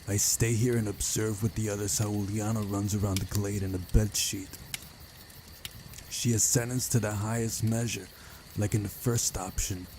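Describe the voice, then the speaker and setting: A man's voice: fairly low pitch, background noise. A man reading a visual novel's first-person story aloud as the narrator of a playthrough. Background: music.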